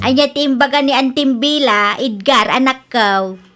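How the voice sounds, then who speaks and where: A woman with an Ilocano Filipino accent playing an expressive, nagging middle-aged mother and storekeeper in a radio drama. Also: high voice, moderate pace, over music, natural voice. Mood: brisk. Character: bossy, chatty middle-aged mother running a small store.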